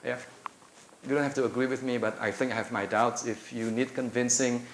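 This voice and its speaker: A middle-aged man from Indonesia, a native Indonesian speaker, giving a conversational lecture to teachers, with many filler pauses, holding a handheld mic in a lecture room. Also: mid-range voice, fairly even intonation. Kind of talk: conference talk. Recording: echoey room with faint noise.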